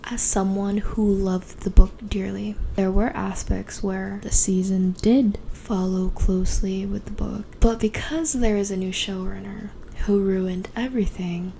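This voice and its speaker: Tired, flat-voiced woman from the Philippines. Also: medium pitch, unhurried, few pauses, a little hiss or hum, rough recording. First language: Cebuano. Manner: rambling, gushing, often hushed and breathy. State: tired, late at night. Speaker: book vlogger. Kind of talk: chatty bookshelf tour.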